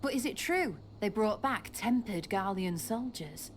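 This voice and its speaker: An actress voicing a wary townswoman in a video game, speaking in a measured way. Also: mid-range voice, lively intonation, slight background noise, natural voice. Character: wary adult townswoman, relieved but uneasy.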